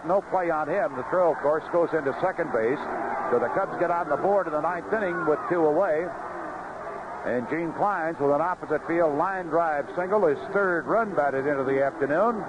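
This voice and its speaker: A male baseball announcer with a slightly high voice calls the game in a conversational, folksy way. It is a dull vintage radio broadcast from an outdoor booth, with clear background noise. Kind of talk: live radio baseball commentary.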